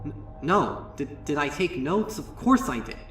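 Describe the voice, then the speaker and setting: A man's voice: on the high side, expressive, a little slow, a bit bright, pitched above the performer's own voice. A man voicing a traumatized young female unicorn student in a horror story, stammering, pleading and bursting out in bitter indignation. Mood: defensive, frantic. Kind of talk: dramatic first-person horror monologue. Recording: roomy, distant voice over music.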